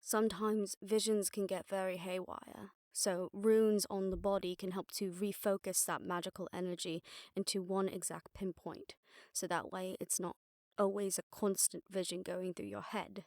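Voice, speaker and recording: Woman acting as a witch detective in an audio rp, gravelly fry, close dry sound, wry n casual, kinda exasperated. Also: medium pitch, flat delivery, normal speed, darkish tone, no room sound, natural voice. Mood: focused, explaining. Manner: casual, wry, mildly swearing, explanatory. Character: exasperated, sharp-tongued witch detective briefing her partner. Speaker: audio roleplay voice actor. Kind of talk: conversational audio roleplay acting.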